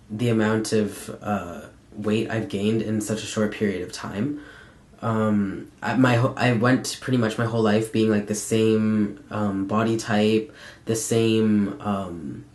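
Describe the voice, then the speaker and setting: Young male vlogger, lowish pitch, candid and halting conversational delivery, roomy echo. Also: fairly even intonation, a little mellow. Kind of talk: casual personal update vlog. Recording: echoey room sound.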